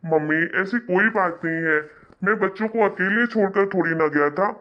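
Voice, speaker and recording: A man's voice: sits high, moderate pace, close to an everyday voice. A man acts as a husband and father in a cartoon family drama. He protests earnestly and defensively to his elders, and the sound is slightly dull and lo-fi. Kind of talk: animated family melodrama acting.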